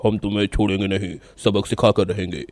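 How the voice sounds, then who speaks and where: A man's voice: fairly low pitch, little pitch movement, brisk, darkish tone, no room sound, lightly acted. A man voicing a vengeful, taunting cauliflower-headed creature in a cartoon nightmare. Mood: threatening. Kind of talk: animated moral-story voice acting.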